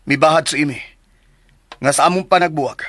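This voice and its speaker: An actor playing a hot-tempered, protective grown son in a radio drama, scolding and questioning sternly. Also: male voice, a little high, a little fast, a bit bright, no echo. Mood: grave, protective.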